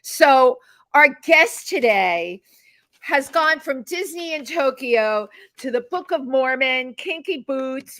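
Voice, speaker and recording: High-voiced, effusive New woman. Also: pushed and bright, dry recording. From the Bronx, New York, United States. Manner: effusive, exclamatory. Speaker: talk show co-host. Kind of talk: lively unscripted talk show chat.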